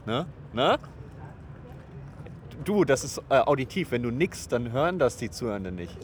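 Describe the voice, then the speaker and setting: A man's voice: mid-range voice, sing-song, moderate pace. A man hosting a casual travel podcast, talking in a joking, teasing, sarcastic way. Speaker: podcast host recording travel voice messages. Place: outdoors in a busy city. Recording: noisy outdoor street with traffic.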